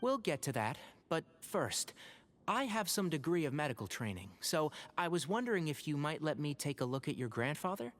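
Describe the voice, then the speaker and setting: An actor playing a polite, earnest young scholar with medical training in a video game. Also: male voice, on the high side, very animated, normal speed, a bit bright, slight background noise. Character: thoughtful young male scholar and healer. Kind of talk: game voice acting.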